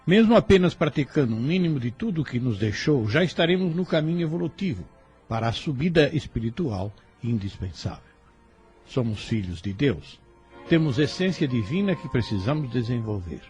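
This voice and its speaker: A male presenter reads a scripted devotional commentary. His voice sits at a middle pitch, with faint music playing beneath the narration. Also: big pitch swings, moderate pace, darkish tone, husky. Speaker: presenter giving a closing reflection. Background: faint background music.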